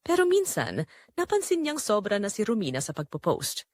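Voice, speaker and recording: Woman, Filipino accent, dramatic scripted storytelling, very animated intonation, dry recording. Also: medium pitch, normal speed, dry recording. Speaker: narrator.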